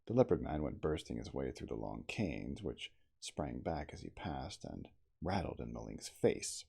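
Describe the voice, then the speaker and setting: Very low male voice, husky and creaky, ASMR audiobook reader, deliberate literary phrasing, expressive intonation. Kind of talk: hushed ASMR dramatic story reading.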